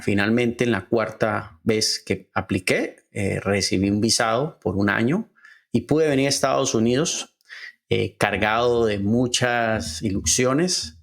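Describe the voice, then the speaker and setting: A man's voice: lowish voice, vocal fry. Earnest Colombian man telling his story. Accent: Colombian Spanish from Cali. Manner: earnest, halting, with frequent "eh" fillers and slow deliberate stretches. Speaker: podcast host. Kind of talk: personal storytelling monologue.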